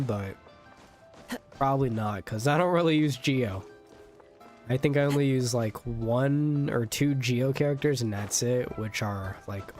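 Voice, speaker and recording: Casting: male game streamer, young adult, average pitch, casual and slangy, rambling over soft game music. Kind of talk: casual livestream commentary.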